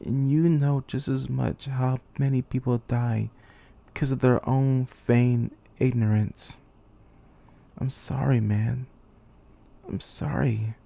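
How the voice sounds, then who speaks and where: Male, dark and creaky mid-range voice, for a weary, embittered student leader in an intense amateur drama monologue. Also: mid-range voice. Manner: brooding, intense, swearing. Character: weary, bitter young male student commander trapped in a killing game. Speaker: aspiring voice actor.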